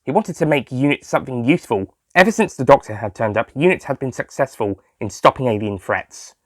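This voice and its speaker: A man's voice: mid-range voice, lively intonation, brisk, no echo. A man reading scripted story narration as the narrator of an audio tale. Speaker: author and narrator.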